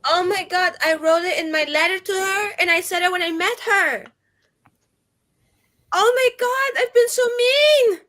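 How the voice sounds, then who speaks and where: A woman chatting in character as a player in a tabletop roleplaying game, animated, exclamatory and prone to swearing. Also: extremely high pitch, unhurried. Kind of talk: improvised casual roleplay chat.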